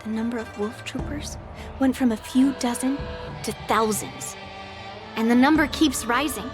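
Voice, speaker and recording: Woman as cocky young swordswoman in a game, highish voice, smug, music under it. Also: normal speed, close to an everyday voice. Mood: nonchalant. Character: cocky young female sword master, proud of her toughness. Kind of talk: video game voice acting. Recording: music playing behind the voice.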